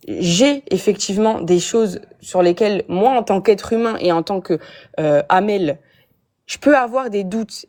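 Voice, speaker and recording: A woman's voice: mid-range voice, a little mellow. A young woman from France, calling into a live stream and arguing her view with many restarts and fillers. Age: young adult. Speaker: guest caller. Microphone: phone app call.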